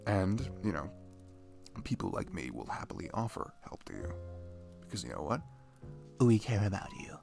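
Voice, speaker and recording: A man's voice: low-pitched, sing-song, moderate pace, vocal fry, long pauses, a little mellow, faint music behind, exaggerated voice. A man playing a shy, dorky, caring best friend in an intimate roleplay ASMR, rambling and hesitant, with small stammers and apologies. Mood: caring, teasing. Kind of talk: intimate roleplay ASMR, mimicking casual one-sided chat.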